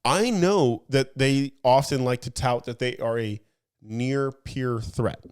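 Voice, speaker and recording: A man's voice: mid-range voice, takes it slowly, darkish tone, clean tone. Casual male podcast co-host. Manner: talks in analogies, asks leading questions, frequent restarts. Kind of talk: casual chat.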